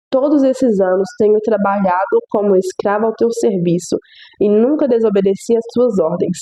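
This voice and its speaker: A woman's voice: mid-range voice, dark tone, low-quality recording. Congested, nasal young woman giving a devotional. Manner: earnest, reflective, repeats phrases for emphasis. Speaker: devotional video host. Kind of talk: Bible reading and devotional reflection.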